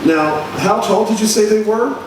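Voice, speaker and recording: Man as placating, doubting reporter, vintage radio drama, lightish pitch, very roomy distant sound. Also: normal speed, a crowd in the background, close to an everyday voice. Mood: skeptical, placating. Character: male newspaper reporter, mild, skeptical, placating. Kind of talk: 1940s radio acting. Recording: roomy, noisy live stage recording from afar.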